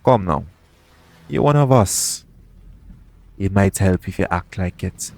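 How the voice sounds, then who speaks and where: A man playing a shady, smooth-talking pirate captain in an audio roleplay, coaxing and self-assured in a conversational way. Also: sits low, animated, takes it slowly, a little mellow, exaggerated voice. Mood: teasing, coaxing. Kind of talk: second-person audio roleplay acting.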